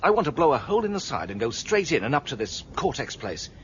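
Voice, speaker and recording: A determined police inspector, played by a man in a radio drama, quick-talking and lively in pitch. His manner is clipped and commanding. The sound is dull and lo-fi, with faint noise behind him. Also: natural voice. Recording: dull lo-fi radio play recording.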